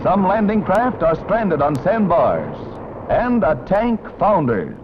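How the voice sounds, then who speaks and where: A man's voice: sits high, big pitch swings, slow, very noisy. An American man reading scripted 1940s newsreel narration in a dramatic, emphatic, declamatory manner. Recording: dull vintage 1940s film soundtrack, loud music.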